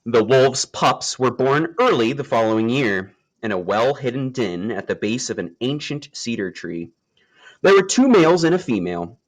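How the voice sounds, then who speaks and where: A man reading a children's nature story aloud, with expressive storytelling delivery and deliberate phrasing. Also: mid-range voice, rough recording. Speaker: storybook reader.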